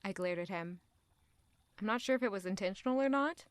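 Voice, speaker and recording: A woman's voice: medium pitch, a little fast, no echo, natural voice. An actress playing an anguished, proud young court lady in an audio drama, brooding intimately in a dramatic first-person monologue. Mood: subdued, uneasy. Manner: intimate, brooding, emotionally intense.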